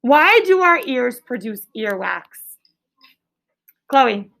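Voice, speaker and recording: High-voiced, creaky female schoolteacher. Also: sing-song, slow, a bit bright. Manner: encouraging, simple explanatory phrasing with leading questions. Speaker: schoolteacher leading a class. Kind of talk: interactive classroom lesson, questioning pupils.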